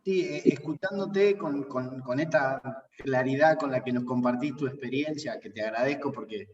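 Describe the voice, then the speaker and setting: A man's voice: mid-range voice, normal speed, dull audio, slight background noise. Thoughtful man, distant echoey hall. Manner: thoughtful, hesitant. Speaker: audience member. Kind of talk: question from audience.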